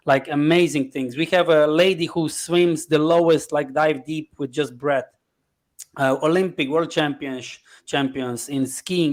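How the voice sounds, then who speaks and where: A man from Slovenia with a Slovenian accent, answering interview questions conversationally as a guest, in short listed fragments of non-native English. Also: on the high side. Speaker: podcast guest, life coach.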